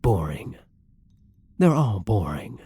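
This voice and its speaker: A man playing a melancholy, gentle vampire in an intimate ASMR roleplay, soft-spoken and breathy, with hesitant, wistful, formal phrasing. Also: lowish voice, sing-song, takes it slowly, no echo, exaggerated voice. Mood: weary, alienated. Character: melancholy, gentle male vampire, weary and self-loathing.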